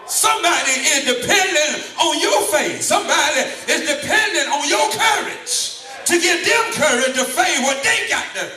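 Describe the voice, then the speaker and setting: A man preaching a call-and-response sermon, shouting in rhythm and repeating his phrases to the congregation. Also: extremely high pitch, expressive, normal speed, faint music behind. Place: large church hall. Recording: echoey hall, far mic, congregation behind.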